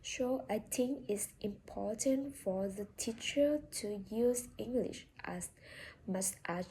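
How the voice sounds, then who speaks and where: A woman's voice: fairly high pitch, warm tone, slight background noise, rough recording. A woman with a Vietnamese accent, a native Vietnamese speaker, giving a prepared monologue for a speaking exam, careful and recited, with a few restarts. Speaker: student taking a speaking exam.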